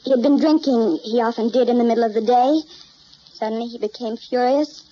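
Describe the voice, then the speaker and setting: An actress playing an elegant, refined widow in an old-time radio mystery, with theatrical delivery. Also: female voice, a little high, fairly quick, mellow, lightly acted. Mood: pained, earnest. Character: elegant, soft-spoken widow, loving on the surface, secretly ruthless. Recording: dull, hissy old radio recording.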